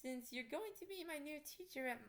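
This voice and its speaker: Woman doing a cheeky high teen monster girl. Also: expressive, brisk.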